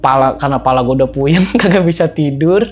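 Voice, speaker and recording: A man's voice: medium pitch, a little fast. Podcast guest, Betawi man, casual storyteller who acts out voices and laughs easily. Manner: animated, slangy, acts out quotes, laughs often.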